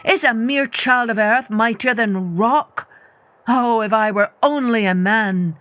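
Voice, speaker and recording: A woman's voice: a little high, measured pace, a bit bright. A woman voicing a proud, discontented man in a storybook, exclaiming indignantly and wishfully over music. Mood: wrathful, longing. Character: discontented, proud man longing for greater might.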